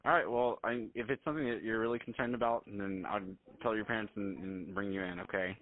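A man speaking as a doctor on a phone call, polite, halting and hesitant. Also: on the low side, steady tone, no room sound. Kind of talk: phone consultation. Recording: tinny phone line.

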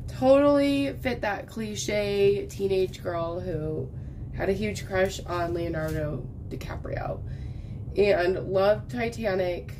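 A woman's voice: mid-range voice, takes it slowly, background noise. A woman in her thirties chatting while she works on a craft, rambling from thought to thought. She is getting over a lost voice. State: recovering from lost voice, coughing. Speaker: crafting vlogger. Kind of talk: casual chat while diamond painting. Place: indoors at a craft table.

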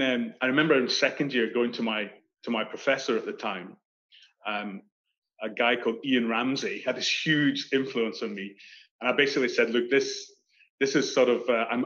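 A Scottish man in his thirties talks through his career in a loose, animated way, circling back and restarting his sentences. His voice sits at a middling pitch. The video call audio comes through dull and lo-fi. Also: normal speed. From Scotland. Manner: animated, gestures with hands, many restarts. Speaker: architect guest. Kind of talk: casual interview chat. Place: indoor room on video call. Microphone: video call webcam.

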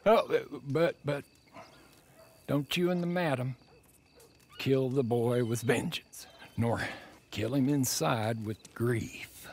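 A gravelly old wild-bearded trapper. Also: male voice, medium pitch, slow pace, long pauses, darkish tone. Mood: musing. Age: older.

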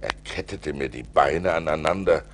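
An actor playing a seafarer who recounts perilous adventures theatrically and deliberately, in an audio play transferred from vinyl. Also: male voice, a bass voice, a little hiss or hum. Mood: measured, recounting. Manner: theatrical, deliberate, swelling with suspense. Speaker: narrator. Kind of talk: dramatic storytelling in an audio play.

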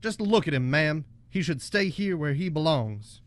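A man's voice: fairly high pitch, animated, moderate pace, close to an everyday voice. A man acting a bullying, mocking toy cowboy who talks in folksy cowboy phrases in a student radio play. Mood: menacing. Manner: taunting, folksy cowboy talk. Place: college radio studio, live broadcast.

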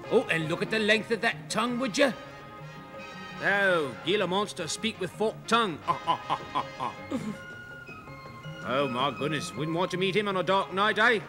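Brief: a chummy showman zoo host, high-pitched and gushing, over music. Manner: chummy, gushing, talks to the audience and animals. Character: jolly chatty male zoo host showman. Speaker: zoo show host character. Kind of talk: comedy sketch acting.

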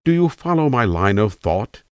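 A man's voice: lowish voice, very animated, moderate pace, clean tone, dry recording, clean studio sound, voice pushed up. A man voicing an eccentric, opinionated old tramp telling a rambling tale in an emphatic, self-assured way, as an audiobook character. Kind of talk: acted audiobook character monologue.

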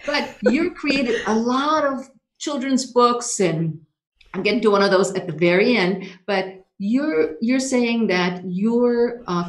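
A woman in her fifties hosting a podcast over a video call, enthusiastic and quick to laugh. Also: medium pitch, reverberant. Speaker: podcast host. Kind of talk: casual interview chat. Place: small home office.

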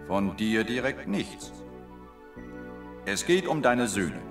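An actor playing a solemn, authoritative messenger of God in a Bible audio drama, firm and declarative. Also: male voice, fairly low pitch, big pitch swings, slow pace, creaky, over music, exaggerated voice.

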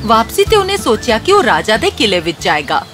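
Lively high-voiced woman storyteller. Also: brisk. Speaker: narrator. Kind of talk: scripted children's storytelling narration. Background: loud music.

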